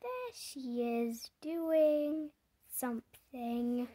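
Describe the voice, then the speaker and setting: Storybook reader: husky, animated intonation, deliberate phrasing, asks the listener questions while reading a picture book. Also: sits high. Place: indoors, book held before the camera.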